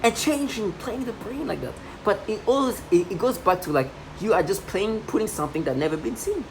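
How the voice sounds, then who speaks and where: A woman's voice: medium pitch, animated, a little fast. A woman in her thirties in a rambling personal monologue, agitated and repetitive, with many restarts. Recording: noisy home recording.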